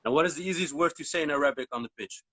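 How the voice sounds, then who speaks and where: A man's voice: a little high, very fast, a bit bright, dry recording, poor audio. A man, a Berber speaker, interviewing casually and rambling with lots of repeated words. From Netherlands.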